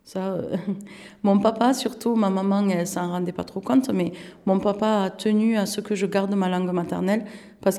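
A woman's voice: medium pitch, dark tone. A woman in her thirties, born in Turkey with Turkish as her first language, recalling her childhood in an interview, hesitant with many fillers and restarts. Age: 30s. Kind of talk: casual recollection in an interview.

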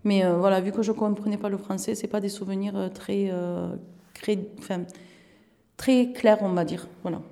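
A woman's voice: mid-range voice, fairly even intonation, moderate pace, warm tone. A woman in her thirties, born in Turkey with Turkish as her first language, recalling her childhood in an interview, hesitant with many fillers and restarts. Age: 30s. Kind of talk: casual recollection in an interview.

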